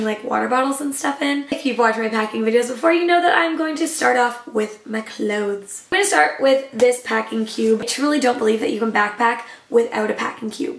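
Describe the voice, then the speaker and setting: Slightly hoarse young woman vlogger. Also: on the high side, roomy sound. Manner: enthusiastic, chatty, showing items. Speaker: travel vlogger. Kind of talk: casual upbeat vlog talk to camera.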